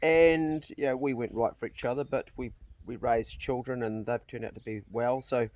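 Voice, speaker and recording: A man's voice: medium pitch, steady tone, darkish tone. Reflective New man in his fifties. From New Zealand. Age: 50s. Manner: reflective, unhurried, repeats words when starting. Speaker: channel host. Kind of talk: casual chat. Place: outdoors at a farm.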